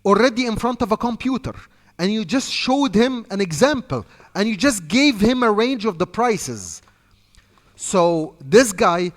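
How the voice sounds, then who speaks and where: A man's voice: sits high, fairly bright tone. Egyptian-accented man coaching in a reverberant hall. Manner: repetitive, emphatic coaching, talks off the cuff. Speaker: sales trainer. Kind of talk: instructional talk to trainees.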